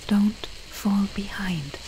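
A woman playing a bold, companionable desert treasure hunter in a soft-spoken ASMR roleplay, hushed and coaxing in a breathy whisper. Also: mid-range voice, drawn-out words, a little hiss or hum, rough recording. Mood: urgent, wary.

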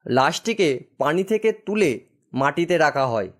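Higher-pitched man narrating horror story. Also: sing-song, unhurried, fairly bright tone.

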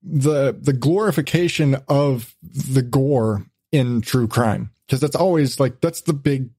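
A man's voice: mid-range voice, slow pace, warm tone, husky, dry recording. A man hosting a podcast in casual conversation, rambling informally and often rephrasing as he goes. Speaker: podcast host.

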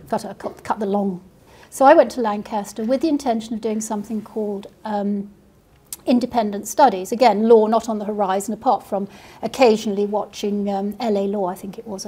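A woman's voice: mid-range voice, darkish tone, breathy edge. A middle-aged woman with a Kenyan accent, a barrister telling her story on a panel in a seminar room, wry and self-deprecating. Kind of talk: panel conversation.

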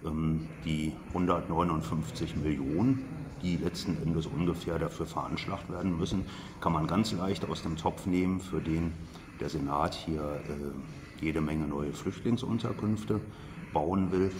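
A man's voice: rumbling low, unhurried, darkish tone. A man giving a political update to camera as a local politician. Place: large glass-walled building lobby, indoors. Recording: noisy, ambient sound of a lobby.

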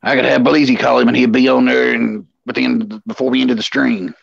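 A man's voice: medium pitch, flat delivery, fairly quick, vocal fry, breathy edge, no room sound, muffled recording. A man co-hosting a casual online stream, telling stories in a blunt, mocking way and repeating himself for emphasis. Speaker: livestream co-host. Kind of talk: casual chat, storytelling.

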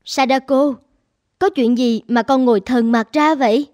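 A woman's voice: sits high, fairly even intonation, a little slow, darkish tone, no echo. A woman voicing a loving, anxious mother in a cartoon, speaking in a tender, pleading way. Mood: concerned. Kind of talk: animated cartoon dubbing.

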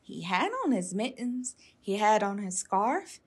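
A woman's voice: mid-range voice, very animated, a little slow, slightly rough. A woman reading a children's storybook aloud expressively, with playful emphasis. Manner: emphatic, playful sound words. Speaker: storytime reader.

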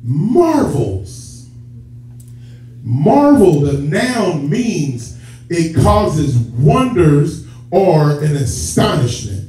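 A man's voice: on the high side, big pitch swings, extremely slow, mellow. A man preaching a sermon as a church elder, conversational and addressing the congregation directly, stretching key words for emphasis. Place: church sanctuary with stage and rows of seats. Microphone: far camera at back of hall. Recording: echoey hall from far off, muffled, noisy.

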